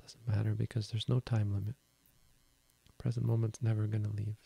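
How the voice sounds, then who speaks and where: A man with a quiet voice giving a calm, slow Buddhist talk to an online audience. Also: on the low side, creaky, breathy edge, no echo. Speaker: Buddhist teacher. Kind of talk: dharma talk.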